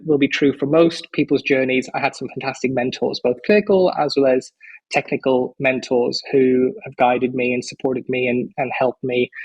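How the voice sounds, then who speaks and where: A man's voice: mid-range voice, husky, muffled recording. A man around forty from the Netherlands, a physician speaking as a podcast guest in an articulate, enthusiastic way. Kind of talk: podcast interview.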